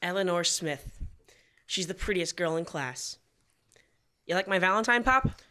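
A teenage male playing a bright-voiced know-it-all big brother. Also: high-pitched, animated, a little slow, clean tone, heavily acted. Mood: defensive. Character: know-it-all older brother, boastful and easily annoyed. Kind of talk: old-time radio comedy acting. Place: school library. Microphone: standing stage microphone.

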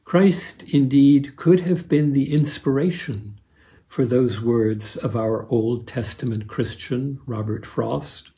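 An American man in his seventies giving a scripted sermon as a pastor, measured and deliberate, with pauses. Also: medium pitch, warm tone. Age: 70s. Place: furnished room with fireplace, indoors.

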